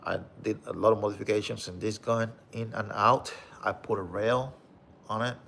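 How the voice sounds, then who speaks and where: A man with a Puerto Rican accent hosting a casual livestream, reading viewer comments and showing off his collection, with a relaxed manner and frequent "bro" and "okay?". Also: fairly low pitch, normal speed, vocal fry, slight background noise. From Puerto Rico. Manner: relaxed, repeats "bro" and "okay?", many fillers.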